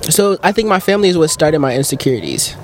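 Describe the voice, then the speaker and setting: A man's voice: a little high, expressive, quick pace, a little hiss or hum. A man as a podcast guest, talking hesitantly with many fillers while recalling his past. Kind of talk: casual podcast chat.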